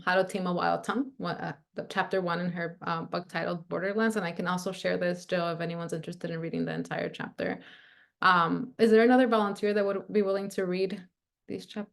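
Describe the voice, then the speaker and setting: A woman's voice: lowish voice, little pitch movement, fairly quick, gravelly. A woman leading a video-call teacher workshop, casual and chatty, often checking in with "right?". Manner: casual, frequent "like" and "right?" fillers. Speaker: workshop presenter.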